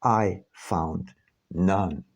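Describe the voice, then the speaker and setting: A man reading his own book aloud as scripted narration, with measured, deliberate phrasing and pauses. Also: deep voice.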